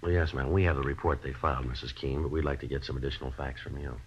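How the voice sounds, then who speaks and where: Fast, very deep, deadpan police detective. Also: male voice, a little hiss or hum. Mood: measured, courteous. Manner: clipped, deadpan, matter-of-fact. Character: businesslike, polite male police detective narrating his case. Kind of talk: 1950s radio crime drama acting and terse narration. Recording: muffled old-time radio recording.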